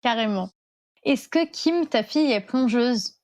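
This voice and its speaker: A woman's voice: a little high, animated, measured pace, darkish tone, no room sound. A young woman from the Paris region asking questions as an interviewer. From Paris region, France.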